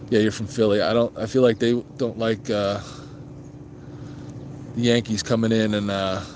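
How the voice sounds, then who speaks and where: A man's voice: fairly low pitch, flat delivery, moderate pace, darkish tone, a little hiss or hum. A man hosting a casual motorcycle vlog, chatting loosely with viewers and answering their questions, with plenty of ums and restarts. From grew up moving around as an Army child. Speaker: motorcycle vlog host reading live chat.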